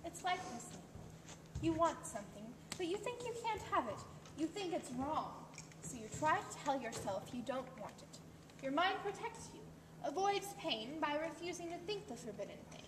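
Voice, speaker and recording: A woman's voice: high voice, very animated, normal speed. A woman playing an earnest, condescending wife who lectures insistently about psychoanalysis in an amateur stage-play rehearsal. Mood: earnest lecturing. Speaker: amateur actor. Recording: distant mic, echoey hall, clearly noisy.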